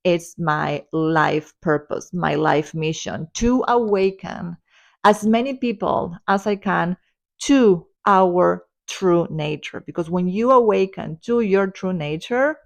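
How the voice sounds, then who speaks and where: A woman's voice: on the low side, takes it slowly, creaky. A woman in her forties talking earnestly and upbeat in a personal spiritual vlog, now and then laughing lightly. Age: 40s. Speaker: spiritual self-development vlogger.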